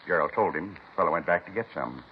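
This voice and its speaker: Actor as earnest, simple male butcher, deep folksy voice, plain-spoken, 1950s radio acting on a dull, hissy recording. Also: fast talker, natural voice.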